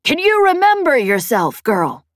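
Woman voicing a commanding, high-pitched female pirate boss. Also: huge pitch swings, measured pace, no echo, clean studio sound, full character voice. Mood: taunting, pressing. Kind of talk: audiobook character dialogue.

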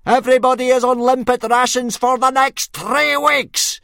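A man voicing a gruff, blustering male Viking drill instructor who bellows at his young recruits. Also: a little high, animated, measured pace, fairly bright tone, dry recording, studio quality, pitched above the performer's own voice. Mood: bellowing.

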